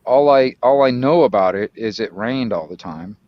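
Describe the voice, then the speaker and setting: A man's voice: lowish voice, lively intonation, moderate pace, no echo. Middle-aged American man, dull lo-fi sound. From Florida, United States. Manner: rambling, hesitant storytelling with slangy, mildly profane speech. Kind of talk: casual chat.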